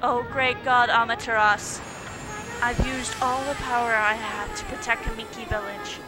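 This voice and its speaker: A woman voicing a gentle female tree spirit from a video game, speaking in a formal, reverent and pleading way. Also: on the high side, steady tone, moderate pace, over loud music, lightly acted, poor audio. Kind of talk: video game cutscene voice-over, read aloud.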